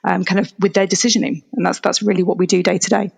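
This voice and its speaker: A woman's voice: medium pitch, level tone, fast, creaky. A woman from England with a Yorkshire accent, answering podcast interview questions in a thoughtful way, hedging with fillers. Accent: Yorkshire English. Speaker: analytics executive, interview guest.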